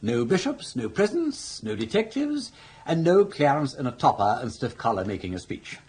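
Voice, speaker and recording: An actor playing a breezy, mischievous elderly gentleman with an upper-class English accent in a radio comedy drama, witty and teasing. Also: medium pitch, very animated, moderate pace, breathy edge.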